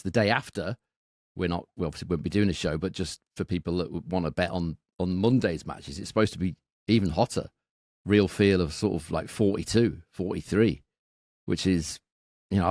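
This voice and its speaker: A man's voice: sits low, a little mellow, dry recording, clean studio sound. A man co-hosting a betting podcast, thinking aloud and often repeating words as he goes. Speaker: podcast co-host. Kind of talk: casual betting analysis.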